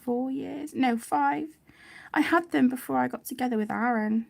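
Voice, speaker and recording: A woman's voice: sits high, moderate pace, vocal fry. A British woman chatting casually and in a rambling way as she hosts a colouring stream, often breaking off her own sentences. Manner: chatty, rambling, self-interrupting. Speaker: host of an adult colouring channel. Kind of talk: casual live chat while colouring.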